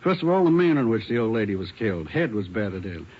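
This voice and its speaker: A man's voice: fairly low pitch, very animated, fast talker, slight background noise. An actor playing a methodical male crime lab technician explaining evidence step by step. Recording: dull old radio broadcast.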